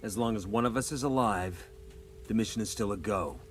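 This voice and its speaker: A man's voice: on the low side, fairly quick, slight background noise, close to an everyday voice. An actor playing a male special forces squad leader giving clipped, matter-of-fact orders. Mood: calm.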